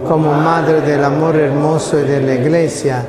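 A middle-aged man, a priest, slowly and solemnly reciting the prayers that close a Mass in a small chapel. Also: a little high, little pitch movement, big-room sound, over a noisy crowd. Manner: slow, solemn liturgical recitation.

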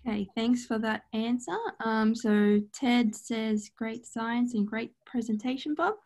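A woman's voice: on the high side, steady tone, measured pace, a little mellow. A woman moderating a webinar on a video call, reading out audience questions and comments.

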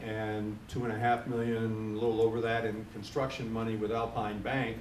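Male panelist, low and monotone, measured explainer, roomy and off-mic. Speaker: panelist, nonprofit fund representative. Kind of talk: unscripted panel talk. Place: conference room. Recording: echoey room, distant mic, faint rumble.

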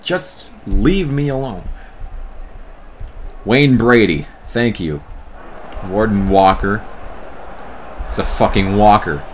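A man doing a let's play commentary, exasperated, swearing and yelling at the game. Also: fairly low pitch, animated, slow, long pauses, a little hiss or hum. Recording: commentary over loud game music. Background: game music.

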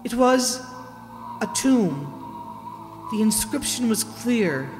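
Older lady playing scared wife in old radio mystery, high voice, dramatic, big echoey hall. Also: expressive. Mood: dread. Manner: halting, anxious, dramatic. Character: frightened, distraught wife narrating a horror tale. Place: stage in a hall, live reading. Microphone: stand microphone. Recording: echoey hall stage performance, music behind.

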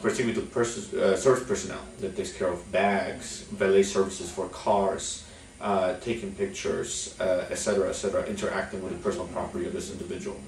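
A young man from Poland, giving a measured instructional talk to camera as a business executive, with many "uh" fillers. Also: low voice, flat delivery, vocal fry, a little mellow, a little hiss or hum. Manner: frequent "uh" fillers, measured delivery. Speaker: chamber of commerce executive. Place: office with logo backdrop. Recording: echoey room, mic at a distance.

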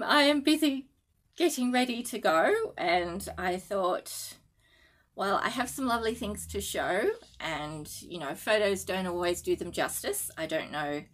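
A woman's voice: medium pitch, a bit bright. A middle-aged Australian woman chatting to camera from her living room, giggly and a little nervous, with lots of fillers and restarts. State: sleep-deprived and a little nervous. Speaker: needlework designer and vlogger. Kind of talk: casual chat to camera.

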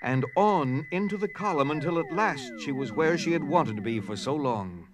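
A man's voice: on the high side, lively intonation, moderate pace, a crowd in the background. A man narrating an audio drama in a dramatic, scripted storytelling style. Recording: noticeable background noise.

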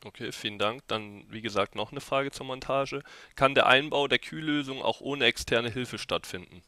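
A man's voice: medium pitch, moderate pace, bright, forceful tone, dry recording, clean studio sound. A young man moderating a live Q&A over a headset, politely reading out audience questions. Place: indoors at a desk.

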